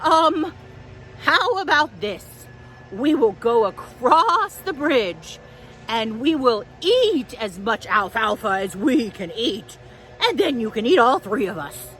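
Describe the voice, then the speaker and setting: A woman's voice: fairly high pitch, big pitch swings, slow pace, a bit bright, exaggerated voice, poor audio. Woman playing Billy, a scared, pleading goat. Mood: scared, bargaining. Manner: hesitant, pleading. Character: Billy, scared eldest goat, anxious bargainer. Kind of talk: children's storybook character voice.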